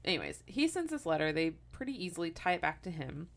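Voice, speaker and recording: A woman's voice: lowish voice, very animated, quick pace, vocal fry. A woman in her thirties telling a true-crime story to her co-host in casual podcast chat, laughing often and wandering into asides. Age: 30s.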